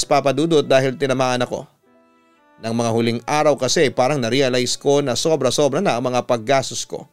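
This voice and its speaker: A man with a Filipino accent narrating a personal story as a radio storyteller, in a smooth, resonant voice. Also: medium pitch. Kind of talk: scripted dramatic narration of a listener's letter.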